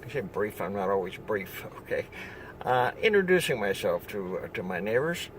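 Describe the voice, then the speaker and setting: A man's voice: lowish voice, big pitch swings, normal speed, a bit bright, a little hiss or hum, rough recording. An older man from upstate New York, introducing himself as a solar salesman in a rambling, chatty way, full of fillers and restarts. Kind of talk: casual self-introduction video.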